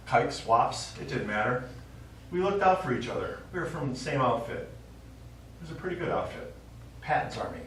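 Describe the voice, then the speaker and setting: A man's voice: on the high side, a bit bright. An actor on stage playing a forgetful, nostalgic elderly father and Army veteran who rambles wistfully through his stories. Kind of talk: live one-act stage play acting. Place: small theatre stage. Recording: very echoey theatre, distant mic, faint noise.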